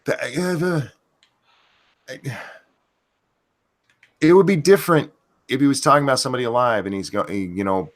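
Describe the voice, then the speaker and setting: A man's voice: mid-range voice, moderate pace, pauses often. A man hosting a casual livestream chat, blunt and self-assured, swearing freely and restarting his sentences. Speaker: show host.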